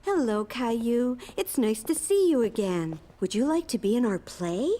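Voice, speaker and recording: An encouraging cartoon schoolteacher running a school play: high-pitched, lively delivery, reverberant hall. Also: female voice, normal speed. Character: encouraging female schoolteacher directing a children's play. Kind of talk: scripted children's cartoon acting.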